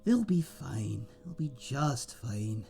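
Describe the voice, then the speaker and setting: A man playing a friendly tavern owner and former sailor, husky and chatty, telling tales in a folksy seafaring dialect in a fantasy audio roleplay. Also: medium pitch, big pitch swings, slow pace, warm tone, faint music behind, heavily acted. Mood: quietly worried. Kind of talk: ASMR roleplay monologue in a fantasy setting.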